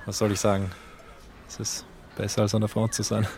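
An actor with a Viennese accent playing a wry camera assistant in a radio drama, dry and ironic. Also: male voice, fairly low pitch, completely flat delivery, a little fast, creaky, a little mellow, a little hiss or hum. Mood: dryly ironic. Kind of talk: German radio drama acting.

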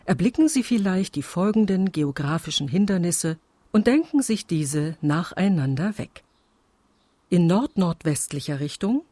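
A performer in a radio play as a calm instructor guiding listeners through an imagination exercise, measured and deliberate. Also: mid-range voice, dry recording. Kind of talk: slow, scripted instructional radio-play narration.

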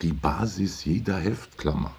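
An actor in a German radio drama playing a proud, surly factory worker husband who talks in terse, defensive bursts. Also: male voice, sits low, unhurried, darkish tone. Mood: proud, insistent.

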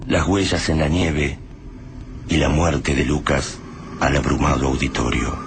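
A man giving dramatic, measured scripted narration for a radio drama. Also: extremely low pitch, fairly even intonation. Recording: noisy radio-drama recording.

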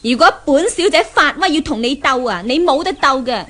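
A woman's voice: high voice, animated, moderate pace, fairly bright tone. An actress playing a haughty, jealous rich young woman in a Hong Kong Cantonese radio drama, with a taunting, threatening manner. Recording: noisy old 1970s radio recording.

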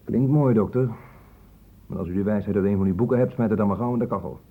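An actor in a Dutch radio play voicing a blunt, sardonic police inspector with a brusque, interrogating way of talking. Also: male voice, fairly low pitch, quick pace, husky. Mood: sardonic, impatient. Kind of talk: Dutch radio drama acting. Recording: muffled phone-like old radio recording.

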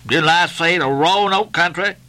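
A man's voice: a little high, expressive, measured pace, sharp tone, pitched above the performer's own voice. A man voicing a sullen ninety-year-old ship's cook in a novel, muttering and growling in grudging dialect. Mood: testy.

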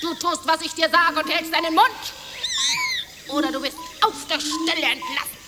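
A shrill woman leading an expedition in a radio drama. She is commanding and scolding, pitched extremely high. Her voice rings in long reverb over clear background noise. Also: steady tone, moderate pace. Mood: angry, threatening. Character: shrill, bossy expedition leader barking threats. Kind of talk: radio play acting. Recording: noisy, long hall reverb.

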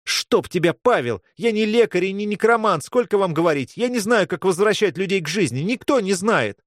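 A man's voice: lowish voice, very animated, brisk, no room sound, clean studio sound. A man voicing a weary, reluctant male mage in a fantasy audiobook, protesting in an exasperated, defensive way.

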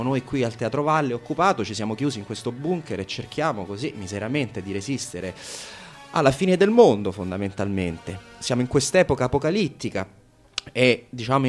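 A man presenting an informal radio show with playful irony, often hesitating and repeating his phrases. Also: medium pitch. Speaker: radio host.

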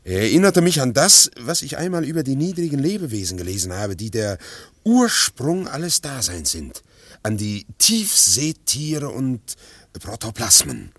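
Ein Schauspieler spielt in einem Hörspiel einen früheren Sekretär, der sich nachdenklich und bedächtig erinnert. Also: male voice, medium pitch, big pitch swings, fairly bright tone, no room sound. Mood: reflective. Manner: reflective, measured recollection. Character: thoughtful male former secretary. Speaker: former secretary. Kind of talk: radio play acting.

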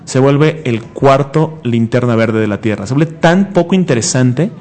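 A man's voice: mid-range voice, animated, a little slow, a little mellow. A man of twenty-five in a heated, casual debate as a comics show host, emphatic and argumentative, often repeating himself and cutting in.

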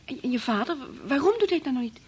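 An actress in a Dutch radio play playing a concerned, reasonable wife, questioning and soothing, with some hesitation. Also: female voice, high-pitched, expressive, very fast, darkish tone, slightly rough. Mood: curious, anxious. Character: concerned, reasonable wife gently challenging her husband.

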